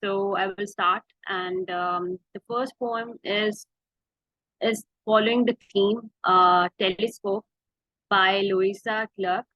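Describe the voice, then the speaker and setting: A woman's voice: medium pitch, fairly even intonation, slow, bright, forceful tone, no echo. A woman introducing and reciting poetry as a guest reader over a video call. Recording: dry, dull video-call audio.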